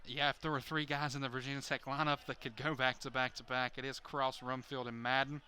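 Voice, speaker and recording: Bright-voiced male baseball color commentator. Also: mid-range voice, flat delivery, a little fast, a little hiss or hum. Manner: conversational, peppered with "you know". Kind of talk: live baseball commentary, conversational analysis.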